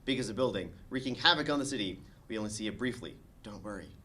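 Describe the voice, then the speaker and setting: A man's voice: fairly low pitch, expressive, fast, sharp tone, slight background noise. A man reading stage directions and narration aloud as the narrator of a comedy script table read.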